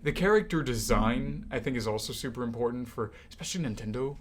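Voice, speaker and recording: A young man co-hosting a student podcast, talking casually about movies with animated, hyperbolic reactions. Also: mid-range voice, fast talker. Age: young adult. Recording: noticeable background room noise.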